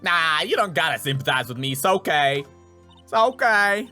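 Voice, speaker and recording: A man voicing an excitable, dopey young man with a country accent, whiny and dramatic, in a video game. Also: a little high, moderate pace, faint music behind. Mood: dejected.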